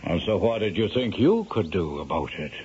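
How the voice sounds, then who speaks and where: Lively, blustering Irish rogue. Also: male voice, low voice, normal speed, husky, exaggerated voice. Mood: anxious, blustering. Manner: blustering, stammering, wheedling. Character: sly, garrulous Irish storyteller and anxious old rogue father. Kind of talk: 1970s radio drama acting. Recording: dull, noisy 1970s radio broadcast.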